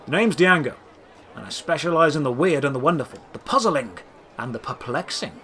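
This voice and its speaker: Cheerful male trader of oddities, acted by a man, salesman patter, animated intonation, audio drama, noisy background. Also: on the high side, a little fast. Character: cheerful, quirky male market stallholder selling oddities.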